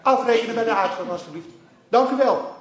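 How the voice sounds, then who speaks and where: Radio drama: fussy, florid master of ceremonies, extremely high voice, announcing formally in an echoing room. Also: male voice, a little fast. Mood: florid, businesslike.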